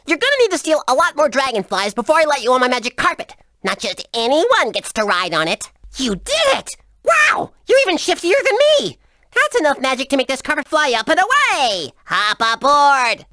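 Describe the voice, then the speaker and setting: A woman's voice: high-pitched, big pitch swings, moderate pace, bright, forceful tone, no echo, studio quality, heavily acted. A woman voicing a sly, haughty carpet owner in a video game, brash and theatrical. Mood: gleeful.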